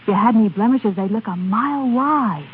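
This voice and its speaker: An actress playing a chatty, excited young woman in a 1930s radio advertisement skit. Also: mid-range voice, fairly quick, lightly acted. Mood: excited, admiring. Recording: tinny, noisy 1930s radio recording.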